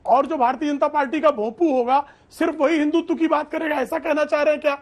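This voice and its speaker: A man's voice: very high-pitched, fairly even intonation, quick pace. A man speaking as a political guest in a TV debate, formal and emphatic, arguing combatively with rhetorical questions.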